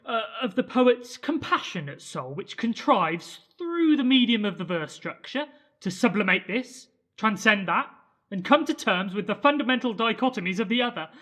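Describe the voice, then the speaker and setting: A woman playing a nervous Earthling prisoner in an amateur audio drama, hesitant, halting and earnest. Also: on the high side, lively intonation, a bit bright. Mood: nervous flattery. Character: nervous, bumbling Earthling prisoner improvising flattery.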